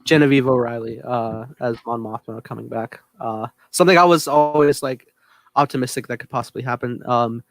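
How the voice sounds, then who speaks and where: A man's voice: mid-range voice, a little fast, gravelly. A man hosting a podcast, leading the topics in a casual, conversational way.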